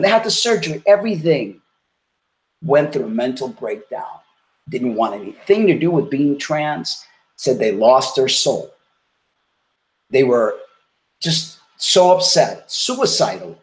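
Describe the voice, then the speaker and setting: A man's voice: mid-range voice, roomy sound, rough recording. A middle-aged man co-hosting a casual talk show from a home kitchen, speaking emphatically with frequent restarts. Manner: emphatic, excitable, many restarts. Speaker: co-host. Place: small home kitchen, indoors.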